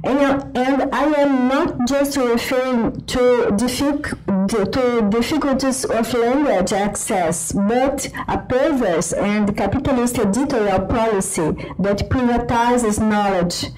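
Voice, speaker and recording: Slow, earnest Brazilian woman presenting. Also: a little high, a little mellow, soft background music, low-quality recording. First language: Portuguese. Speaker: conference panelist, researcher. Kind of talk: reading a prepared conference talk. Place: conference hall.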